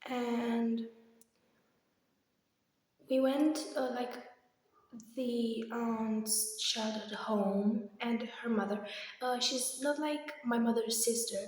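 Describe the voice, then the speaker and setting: A young woman vlogger, slightly hoarse, chatting casually and hesitantly in a rambling personal update recorded in an echoey room. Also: a little high, fairly even intonation, drawn-out words, pauses often, a little mellow. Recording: very echoey, reverberant empty room.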